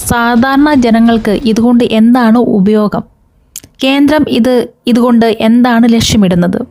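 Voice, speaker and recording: Lady doing an explainer vid, highish voice, asks the viewer questions, music under it. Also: normal speed, a little mellow. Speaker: video channel host.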